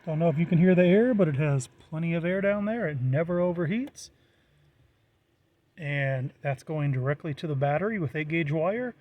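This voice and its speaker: A man's voice: on the high side, expressive, normal speed, phone-quality sound. A middle-aged American man giving a casual, unscripted walkthrough in a plain-spoken, matter-of-fact way. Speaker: hobbyist presenter.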